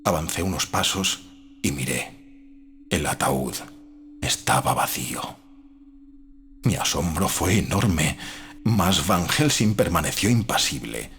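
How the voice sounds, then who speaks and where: Deep-voiced man narrating gothic horror. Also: animated, takes it slowly, pauses often, a bit bright. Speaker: audiobook narrator. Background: faint music.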